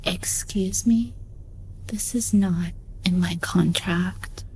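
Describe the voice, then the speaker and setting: A woman playing an aloof, mystical diva with a drawn-out theatrical delivery in a radio play. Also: lowish voice, warm tone, breathy edge, full character voice, rough recording. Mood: indignant.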